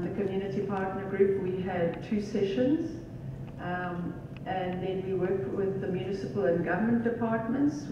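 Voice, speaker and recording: A middle-aged woman with a South African English accent giving an earnest, measured conference talk into a handheld mic. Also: mid-range voice, noisy background. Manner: measured, earnest, with frequent ums. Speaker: university community engagement presenter. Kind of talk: conference presentation. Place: large hall with curtains. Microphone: handheld microphone. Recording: echoey hall from far off, dull.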